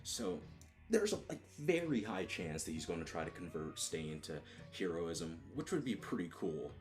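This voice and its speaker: A man giving a casual solo video review, talking in a mostly flat, monotone way and restarting his sentences often. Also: sits low, normal speed, soft background music. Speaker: manga reviewer.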